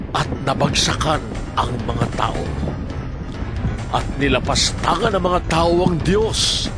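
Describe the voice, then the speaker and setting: A man with a Filipino accent giving solemn, emphatic dramatic narration of scripture. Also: fairly high pitch. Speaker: Bible narrator.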